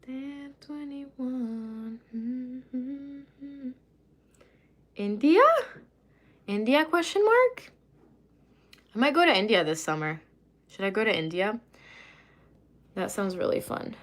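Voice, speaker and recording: A woman's voice: sits high, lively intonation, slow, pauses often. A woman casually chatting on a livestream, reading and answering viewer comments while doing her makeup, rambling with lots of fillers and asides.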